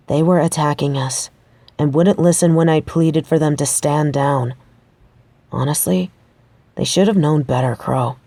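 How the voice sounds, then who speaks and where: A woman's voice: sits low, dark tone, no echo. A woman playing a determined, pragmatic researcher of the supernatural in an audio roleplay, speaking in a conversational, matter-of-fact way. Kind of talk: audio roleplay acting, addressing the listener.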